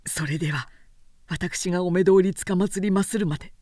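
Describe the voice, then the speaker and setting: A woman voicing an earnest young male craftsman who shifts from polite, formal speech to rough, plain-spoken talk. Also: fairly low pitch, brisk, dark tone, no echo, studio quality, natural voice. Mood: deferential.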